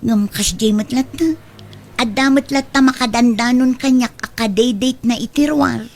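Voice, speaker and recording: An actress with an Ilocano accent playing a pampered, scheming young daughter who talks in a chummy, stubborn way in a radio drama. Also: female voice, on the high side, normal speed, a little mellow, close to an everyday voice. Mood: stubborn, conspiring. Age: young adult.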